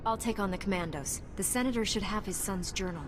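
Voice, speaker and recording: Woman as a composed Jedi heroine, mid pitch. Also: fast talker, music in the background, close to an everyday voice. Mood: composed, earnest. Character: calm, selfless, dutiful young Jedi Knight heroine. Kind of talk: scripted video game dialogue.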